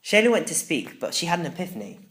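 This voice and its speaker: A man reading a children's audiobook in the first person, with expressive, emphatic scripted storytelling. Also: on the high side, fast talker. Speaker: audiobook narrator.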